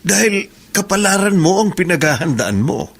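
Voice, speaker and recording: A man's voice: high-pitched, big pitch swings, moderate pace, vocal fry, fairly bright tone, full character voice. A man with a Filipino accent playing a kindly, wise mentor who gives fatherly counsel in a radio drama. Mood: proud, advising. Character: kindly, wise male mentor to a young prisoner.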